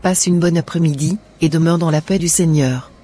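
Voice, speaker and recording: Woman as a low, husky, studious schoolgirl. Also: steady tone, normal speed, dark tone. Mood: calm, assured. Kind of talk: scripted cartoon dialogue. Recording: music behind the voice.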